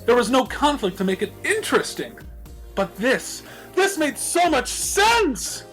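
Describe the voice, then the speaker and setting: A man's voice: very high-pitched, sing-song, measured pace, fairly bright tone, faint music behind, voice pushed up, heavily acted. A man voicing a melodramatic, romantic, refined female unicorn in a theatrical, swooning manner.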